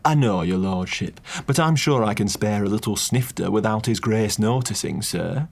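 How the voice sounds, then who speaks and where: A prim butler from a storybook, read by a man in a husky, close-to-his-own voice. He corrects manners in a slow, deliberate way. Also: fairly low pitch. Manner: corrects etiquette stiffly and deliberately. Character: prim, exasperated male butler.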